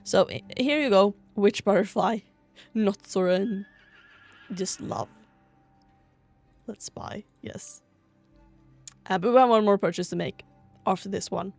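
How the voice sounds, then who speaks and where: A woman's voice: mid-range voice, unhurried, gravelly, pauses often, darkish tone, soft background music. A woman from Sweden chatting casually over gameplay in a gushing, rambling way, sounding a bit tired. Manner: gushing over cute things. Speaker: gaming vlogger.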